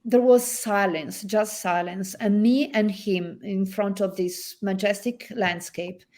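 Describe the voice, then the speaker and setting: A woman with an Italian accent, an author guest speaking thoughtfully with many pauses and reading from her novel over a video call. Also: medium pitch, steady tone, a little mellow. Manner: thoughtful, hesitant with many fillers.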